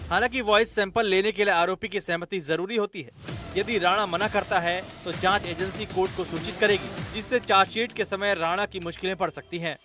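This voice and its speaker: A man's voice: high voice, steady tone, fairly quick, over music. A man reading a scripted television news report as a voice-over.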